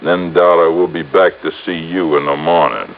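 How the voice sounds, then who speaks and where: An actor playing a big, cocky, vengeful gangster in an old-time radio crime drama, with gruff tough-guy slang. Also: deep voice, steady tone, moderate pace, phone-quality sound. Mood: threatening. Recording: noisy, thin old radio recording.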